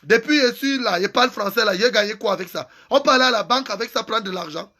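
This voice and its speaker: A man's voice: sits high, fast, pushed and bright. A man giving an angry, impassioned political rant, hammering home repeated phrases. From Cameroon. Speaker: activist vlogger.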